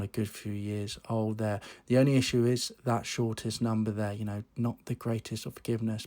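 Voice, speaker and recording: A man with a croaky, under-the-weather voice talks casually through a gear review. His pitch sits in the middle range, and he keeps it loose and chatty, filling gaps with "you know" and "um" as he reads out numbers. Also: darkish tone. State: croaky voice from a cold. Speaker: golf equipment reviewer, channel host. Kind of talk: casual product review talk.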